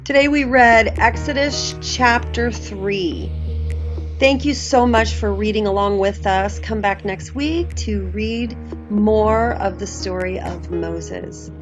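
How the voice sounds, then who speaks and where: A woman's voice: medium pitch, slow. A woman narrating a scripted Bible read-along as its host. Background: background music.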